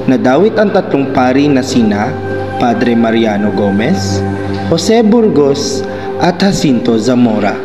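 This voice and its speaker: Student male narrator, animated delivery, echoey room, music bed behind. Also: mid-range voice. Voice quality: measured, dramatic pauses. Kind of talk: scripted historical narration.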